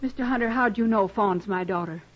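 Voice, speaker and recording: A woman's voice: mid-range voice, a little hiss or hum, close to an everyday voice. Actress as guarded frontier mother, muffled recording. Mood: guarded, uneasy. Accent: American. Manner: measured, courteous, restrained. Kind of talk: 1950s radio western acting. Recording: dull, muffled vintage radio recording.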